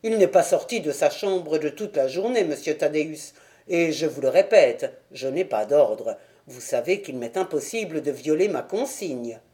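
A woman voicing a burly, stubborn male gatekeeper and former boxer with a gruff, blunt delivery in an audiobook story. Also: sits low, expressive, measured pace, dark tone. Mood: suspicious, firm. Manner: firm, blunt.

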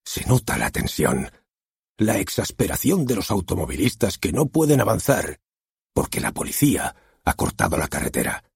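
Explosive male theater director, as a man puts him on, gravelly, husky, low, wildly swinging pitch, dry close recording. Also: sing-song, normal speed, no echo, heavily acted. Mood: dramatic. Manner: bombastic, swearing, theatrical.

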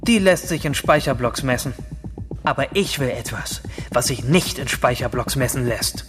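An actor playing a detached commentator on a virtual post-human society in a radio drama, with a wry, lecturing manner. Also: male voice, medium pitch, lively intonation, moderate pace, natural voice. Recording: music under the voice.

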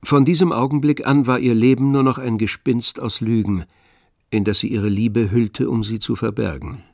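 Knarrender, distanzierter Erzähler. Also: male voice, mid-range voice, fairly even intonation, normal speed, vocal fry, darkish tone, no room sound, clean studio sound. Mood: detached, wry. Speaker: narrator. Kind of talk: scripted literary radio play narration.

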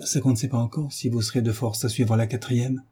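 A man's voice: mid-range voice, fairly even intonation, a little fast, mellow, breathy edge. A man voicing a knowing schoolgirl of fifteen with a pointed, prim way of talking, in a storybook reading. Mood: advising.

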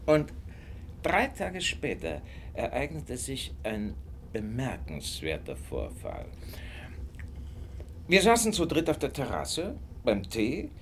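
An actor playing a detective who recounts an old case in a radio drama, reflective and deliberate. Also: male voice, mid-range voice, slight background noise, heavily acted. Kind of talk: German radio drama narration.

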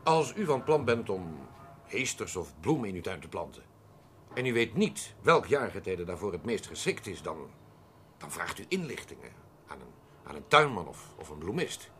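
An actor playing a pompous, prickly accountant with sinister plans in a radio drama, precise and theatrically formal, in a husky voice. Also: male voice, low voice, sing-song, normal speed, a bit bright, a little hiss or hum. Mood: explaining, self-assured. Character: pompous, prickly accountant coolly proposing a perfect murder. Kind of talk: Dutch radio drama acting.

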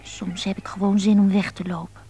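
A woman's voice: mid-range voice, flat delivery, a little fast, dark tone, slightly rough. An actress playing a lonely, impulsive seventeen-year-old schoolgirl confiding over the phone in a radio drama. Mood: hushed, downcast. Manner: impulsive, confiding, swinging between defiant and tender.

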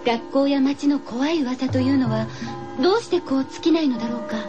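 A woman acts a sceptical senior schoolgirl narrating a ghost-hunting audio drama. Her voice is high, with dry, measured storytelling over loud music. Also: dull audio, natural voice. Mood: weary, ominous.

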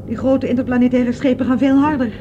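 A woman plays a young space fugitive in a radio play, anxious and forever questioning. Her voice sits high. The recording is old and somewhat dull, with clear noise around her. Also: steady tone, fast talker, close to an everyday voice. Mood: anxious, tender. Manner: worried, questioning. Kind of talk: Dutch radio drama acting.

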